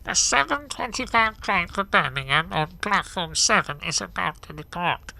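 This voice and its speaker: A man's voice: sits high, expressive, moderate pace, creaky, bright, forceful tone, no room sound, voice pushed up, full character voice, low-quality recording. A man putting on a formal, official railway station announcer in a short story.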